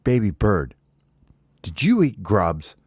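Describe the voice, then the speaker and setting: A man's voice: fairly low pitch, wildly swooping pitch, slow pace, a little mellow, slightly rough, no room sound, full character voice. A man voicing a grown-up bird camp counselor in a children's story, firm, plain-spoken and slightly exasperated. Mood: firm, questioning.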